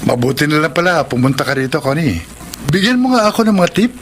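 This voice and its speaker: An actor playing a mild, apologetic young husband in a Filipino radio drama, hesitant and eager to please. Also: male voice, a little high, animated, quick pace, slight background noise, natural voice. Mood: friendly, grateful. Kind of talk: Tagalog radio drama acting.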